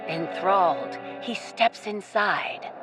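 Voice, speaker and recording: Dramatic woman narrator over loud music. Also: fairly low pitch, animated, slow pace. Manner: theatrical, suspenseful serial-adventure delivery. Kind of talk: scripted dramatic radio play storytelling.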